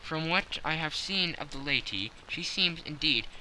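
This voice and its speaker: A man's voice: fairly high pitch, animated, unhurried, bright, forceful tone, a little hiss or hum, heavily acted, poor audio. A man voicing a cold, reserved detective who speaks in a cool, clipped way.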